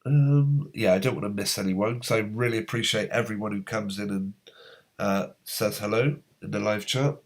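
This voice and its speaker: A man hosting a live stream, reading out viewers' comments in a halting, rambling way, his voice going hoarse as a cold comes on. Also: lowish voice. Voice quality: slightly hoarse. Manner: rambling, halting, many fillers.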